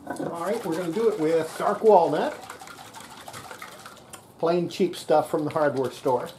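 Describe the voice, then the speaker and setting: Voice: mid-range voice, lively intonation, a little slow, mellow. An older radio repairer giving casual, folksy hands-on commentary while working at a bench in an indoor workshop. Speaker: radio repairer presenting a restoration. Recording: noisy workshop camera audio with clicks.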